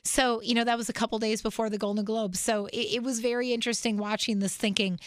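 A woman's voice: fairly high pitch, little pitch movement, fast, no room sound, studio quality. A woman guest on a podcast, talking earnestly and with animation while sick and congested. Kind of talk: casual interview conversation.

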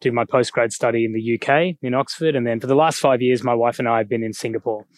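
Australian male speaker, middle-aged, headset mic, dry close sound, relaxed joking lecture delivery. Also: medium pitch, fast talker, no echo. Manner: relaxed, self-deprecating humor. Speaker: guest speaker. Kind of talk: public lecture. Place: school hall.

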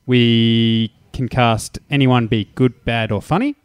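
A man hosting a casual movie podcast, laid-back and quick to laugh. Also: medium pitch, steady tone, clear, resonant tone, no room sound. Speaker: podcast host.

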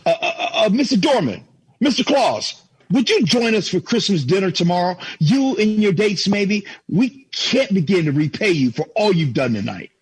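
A man's voice: high voice, very animated, moderate pace, husky, heavily acted, low-quality recording. An actor playing an affectionate, earnest 1950s family father in an old-time radio drama. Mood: cheerful, earnest. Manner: earnest, folksy.

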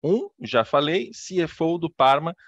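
A man's voice: a little high, lively intonation, a little slow, dry recording, lo-fi sound. A man with a Brazilian accent hosting an interview, speaking fluently in a friendly, explanatory way. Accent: Brazilian Portuguese. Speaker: podcast host, interviewer.

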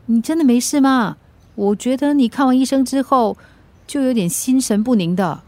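An actress playing a caring, gently nagging friend in a radio drama, reassuring and solicitous, with a Singaporean accent. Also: female voice, a little high, moderate pace, no room sound, lightly acted. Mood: concerned, helpful.

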